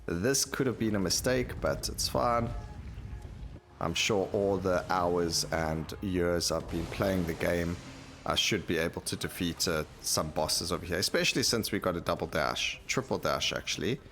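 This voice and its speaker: Low-voiced man commentating a video game. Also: unhurried, a bit bright, slight background noise. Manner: thinking aloud, explaining choices as he plays. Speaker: gaming YouTuber.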